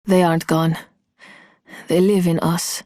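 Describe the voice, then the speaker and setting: A woman voices a cool, vengeful thief with a low-ish voice and a cutting manner. The sound is dry and close. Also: flat delivery, measured pace, dark tone, no room sound, lightly acted.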